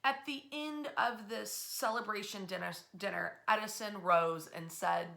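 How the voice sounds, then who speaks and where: A woman hosting an informal history storytelling talk, speaking conversationally with animated asides and emphatic pauses. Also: medium pitch, creaky.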